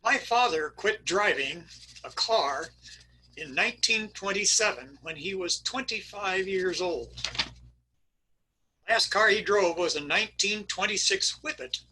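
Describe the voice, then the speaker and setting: A man's voice: high voice, a little slow, bright, forceful tone, a little hiss or hum, rough recording. A man reading a wry first-person story aloud over a video call. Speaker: narrator. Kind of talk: reader's theater narration.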